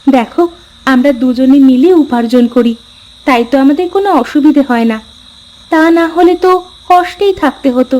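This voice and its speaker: A woman's voice: high-pitched, moderate pace, warm tone, lightly acted, rough recording. A woman voicing a kind, hopeful young village wife in a cartoon fairy tale, sweet and earnest. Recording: music behind the voice. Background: clear background music.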